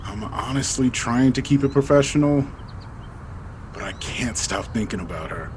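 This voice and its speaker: A man's voice: mid-range voice, animated, normal speed, darkish tone, slight background noise, natural voice. A man acting in an audio drama as a guarded, regretful husband who talks in a colloquial, defensive way. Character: married man hiding an old engagement, guarded and regretful.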